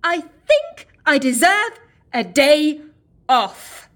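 A woman's voice: high-pitched, huge pitch swings, drawn-out words, fairly bright tone, heavily acted. An actress playing a weary, exasperated woman agent with a dry, emphatic delivery in an audio drama. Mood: weary, indignant.

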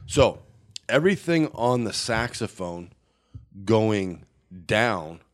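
Low-voiced man chatting animatedly. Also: slow pace. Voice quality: nasal. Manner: rambling, animated storyteller. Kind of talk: casual chat.

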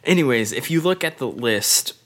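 A man co-hosting a casual sports podcast, reading out player stats with a loose, jokey manner and halting restarts. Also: medium pitch, expressive, fairly bright tone. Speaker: podcast co-host.

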